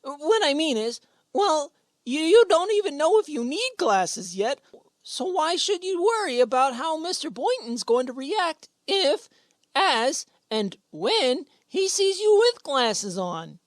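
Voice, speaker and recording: A woman acts a gawky teenage schoolboy in a radio comedy. His voice is high and swoops about as he earnestly reaches for big words. The sound is dry and close. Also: very animated, slow, no echo, heavily acted. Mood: flustered. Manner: stammering, wordy, earnest. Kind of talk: old-time radio sitcom acting.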